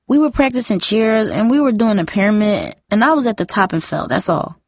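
A woman's voice: mid-range voice, expressive, a little fast, creaky, no room sound, studio quality. A woman voicing a defiant, defensive teenage girl with a heated, slangy way of talking, in a story reading. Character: defiant, defensive younger sister, a school cheerleader.

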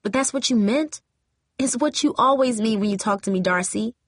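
A woman's voice: mid-range voice, animated, brisk, no room sound, studio quality, pitched above the performer's own voice. Woman as a bold, outspoken teenage girl. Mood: hurt, defiant. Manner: outspoken, challenging. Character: bold, friendly, defiant teenage girl cheerleader.

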